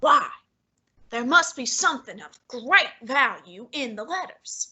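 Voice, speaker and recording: A woman plays a heavyset male detective genius who speaks in an unhurried, commanding way, talking down to everyone in the room. The voice sits high and bright for the part. Each word is placed with lordly patience. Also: lively intonation, slightly rough, exaggerated voice. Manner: measured, commanding, condescending. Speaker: student actor. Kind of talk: student radio drama acting.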